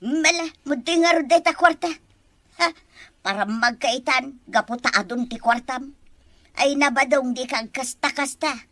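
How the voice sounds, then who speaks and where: A woman's voice: high-pitched, measured pace, a bit bright, dry recording. A woman with an Ilocano accent playing an incredulous, chiding villager in a comedy drama.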